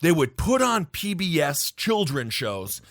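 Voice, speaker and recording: A man's voice: a little high, very animated, measured pace, a bit bright, no room sound, studio quality. A man with a Midwestern accent co-hosting a podcast, telling news stories in a jokey, rambling way. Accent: Midwestern American. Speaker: podcast co-host. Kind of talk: casual comedic chat.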